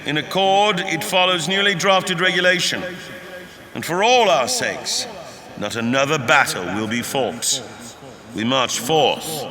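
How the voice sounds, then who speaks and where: An actor playing a resolute leader proclaiming peace to troops, in formal, declamatory audio drama oratory. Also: male voice, fairly high pitch, animated, unhurried. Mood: proud, resolute. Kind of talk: audio drama public address. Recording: long hall reverb, crowd behind. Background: crowd.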